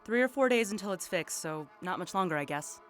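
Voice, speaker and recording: A woman's voice: lowish voice, lively intonation, a little fast, a bit bright, faint music behind. A woman acting as a weary detective in an audio drama, hesitant and deferential to her boss. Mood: reassuring. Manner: hesitant, flustered.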